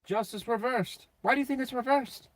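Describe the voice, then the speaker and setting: A man giving a casual, off-the-cuff tarot reading in a blunt, sweary, slangy way, with a slightly raspy voice. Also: very high-pitched, fairly quick. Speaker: tarot reader and channel host.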